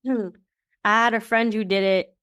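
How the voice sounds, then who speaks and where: A woman's voice: on the high side, fairly even intonation, takes it slowly, fairly bright tone, clear, resonant tone, dry recording, clean studio sound. A woman co-hosting a podcast, asking questions in a light conversational way. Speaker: podcast co-host. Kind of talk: casual interview chat.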